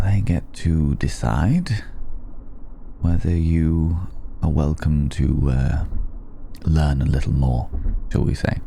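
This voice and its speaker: An extremely deep-voiced, suave old vampire, acted by a man in an intimate ASMR roleplay. He speaks in a very dark, gravelly tone with refined, wry pauses, over faint background noise. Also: heavily acted. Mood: measured, courtly. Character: suave, worldly old male vampire, amused and courtly. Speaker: voice actor in audio roleplay.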